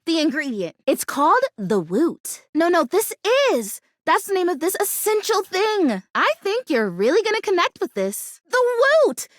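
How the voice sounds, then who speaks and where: A woman voicing a perky, earnest young female houseplant who longs to be real, bubbly and chatty, in an animated video game style. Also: very high voice, moderate pace, hardly any pauses, no echo, studio quality. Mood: earnest, eager. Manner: bubbly, gushing, naively optimistic, chatty.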